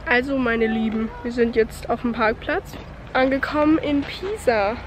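Junge Frau plaudert verspielt, etwas hoch. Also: female voice, fairly high pitch, a little slow. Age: young adult. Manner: chatty, playful, addresses viewers directly. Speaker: vlogger. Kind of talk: casual travel vlog chat. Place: outdoors and in a car on a road trip. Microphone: handheld camera at arm's length. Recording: outdoor noise from traffic and wind.

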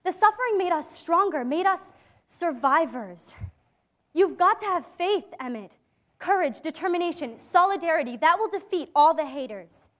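An earnest teenage girl in a stage drama, played by a young woman. Her voice is high and youthful, and she phrases her lines deliberately, holding on to hope as someone who has lived in hiding. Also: lively intonation, fairly bright tone. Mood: hopeful, insistent. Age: young adult. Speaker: actor in a staged reading.

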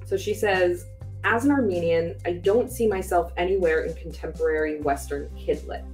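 A woman from the publishing staff presenting a picture book on a studio panel, reading prepared remarks in a measured way. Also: on the low side, darkish tone, faint music behind. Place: bookshelf studio set, indoors.

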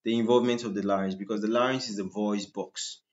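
A man teaching a slide-based medical lecture in a deep, just-woken voice. He keeps asking rhetorical "what?" questions and answers them himself. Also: fairly even intonation, quick pace, a little mellow. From Nigeria. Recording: dull lo-fi screen recording.

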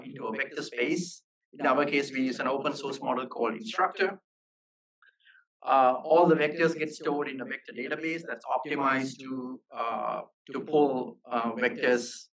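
Man, medium pitch, technical presentation, explanatory, halting phrasing, slightly off-mic live recording. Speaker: software engineer presenting at a meetup. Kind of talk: technical conference talk. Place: live meetup talk. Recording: voice slightly distant from microphone.

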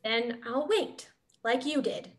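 A woman's voice: fairly high pitch, slow pace. A young woman playing a hungry, pleading female wolf in a play reading over a video call. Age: young adult. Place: small dim room at home, on video call.

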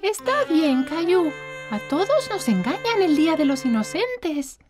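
A woman's voice: very high-pitched, big pitch swings, a little slow, over music, heavily acted. A woman voicing a friendly, confident little girl in a cartoon, with a Latin American accent. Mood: reassuring.

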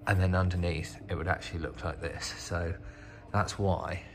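A man's voice: sits low, fairly even intonation, moderate pace, background noise. A British man giving a casual, off-the-cuff walk-around commentary as a vlogger, recorded in a workshop. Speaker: vlogger, host of a channel about rotten old cars. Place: inside a car workshop.

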